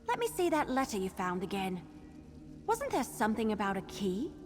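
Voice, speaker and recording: A woman's voice: medium pitch, wildly swooping pitch, moderate pace. A woman voicing a wry, impatient investigator companion in a fantasy video game, sarcastic and teasing. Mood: curious, focused. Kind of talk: video game character dialogue. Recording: long hall reverb, music underneath.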